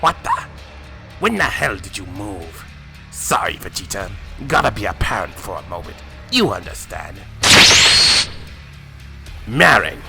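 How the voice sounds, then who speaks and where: A man's voice: mid-range voice, vocal fry. A man playing a sadistic alien villain in an anime fan drama, taunting and theatrical with menace. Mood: irritated. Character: sadistic alien villain with vengeful grudge, possessing a father's body. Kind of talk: anime fan-fiction voice acting.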